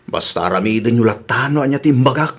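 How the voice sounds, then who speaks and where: Boastful young suitor played by a man, lively pitch swings, comic radio-drama scheming. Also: fast, husky. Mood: insistent. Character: boastful, scheming young suitor lying about his job. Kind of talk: Ilocano comedy radio drama acting.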